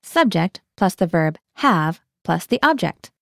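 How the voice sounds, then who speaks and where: A woman's voice: lowish voice, sing-song, measured pace, gravelly, fairly bright tone, no room sound, clean studio sound. A woman hosting a scripted language lesson, guiding the learner and translating each phrase into English.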